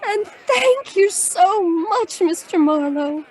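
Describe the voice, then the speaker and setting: An actress playing a fragile, lovelorn client in a noir audio drama, speaking in a halting, emotional, pleading way. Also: female voice, extremely high pitch, lively intonation, darkish tone, heavily acted. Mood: tearful gratitude. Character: fragile, lovelorn, sentimental woman client in distress.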